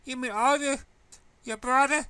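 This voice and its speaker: A man voicing a brash, teasing, excitable teenage girl in a story reading, loud and incredulous with slangy delivery. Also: extremely high pitch, normal speed, smooth, no room sound, voice pushed up.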